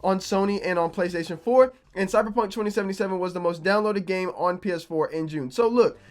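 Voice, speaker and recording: Homem jovem com voz um pouco rouca. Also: male voice, fast talker. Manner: conversational, repeats himself, low energy. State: a little raspy from being sick. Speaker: gaming YouTuber. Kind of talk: casual opinion vlog to camera.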